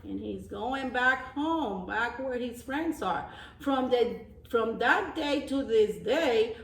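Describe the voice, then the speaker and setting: A woman reading a storybook aloud as a teacher of young children, pausing for simple explanations and repeating phrases. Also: on the high side, lively intonation. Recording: roomy echo, distant microphone.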